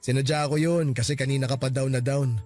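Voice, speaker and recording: A man's voice: medium pitch, steady tone, fairly quick, natural voice. An actor playing a young man's close friend who comforts him and teases him along, in a Filipino radio drama. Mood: encouraging. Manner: gentle, reassuring, teasing. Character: supportive joking male friend of the grieving man. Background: soft music.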